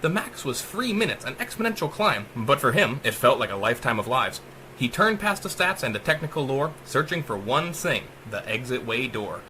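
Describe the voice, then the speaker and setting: A man's voice: mid-range voice, big pitch swings, moderate pace, sharp tone, slight background noise. A man giving a dramatic, theatrical audiobook narration of horror verse, with a sing-song rhyming cadence. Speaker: author reading own story.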